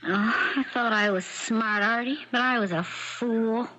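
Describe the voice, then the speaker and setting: An actress playing a domineering, shrewd and demanding wife in a 1970s radio mystery drama, with a hard-edged, commanding way of talking. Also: female voice, fairly high pitch, a little slow, noisy background, close to an everyday voice. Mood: bitter, anxious. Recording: dull, band-limited 1970s radio broadcast.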